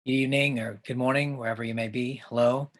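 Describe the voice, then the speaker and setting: A man's voice: medium pitch, fairly even intonation, fast. A man from Mexico City, an activist and performing artist, giving a formal self-introduction on a video call. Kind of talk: online event presentation.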